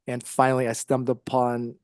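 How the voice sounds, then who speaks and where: A middle-aged man with an American accent, speaking conversationally as an interview guest, with halting, um-filled phrasing. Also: mid-range voice, completely flat delivery, clear, resonant tone, no echo, clean studio sound. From Ohio, United States. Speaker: interview guest, psychologist and author.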